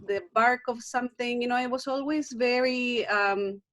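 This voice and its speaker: A woman's voice: a little high, normal speed. A middle-aged woman from Chile with a Chilean Spanish accent, a native Spanish speaker, talking earnestly as an herbalist guest in a video call interview, often restarting her sentences. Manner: warm, earnest, frequent restarts and fillers. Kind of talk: casual interview conversation.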